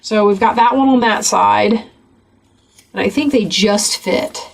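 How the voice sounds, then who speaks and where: A woman with a gravelly voice from a cold, chatting casually as she flips through a craft journal. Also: mid-range voice, measured pace. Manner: chatty, rambling, with many fillers. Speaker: craft channel host.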